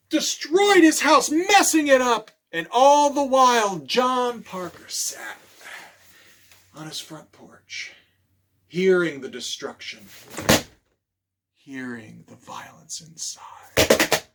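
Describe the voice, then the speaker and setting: A man reads to children as a storytime librarian. His voice sits a little high and swings widely in pitch. He takes his time, with theatrical pauses and questions aimed at young listeners. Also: expressive. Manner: theatrical, with dramatic pauses and hushes. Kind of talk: animated children's storytime read-aloud.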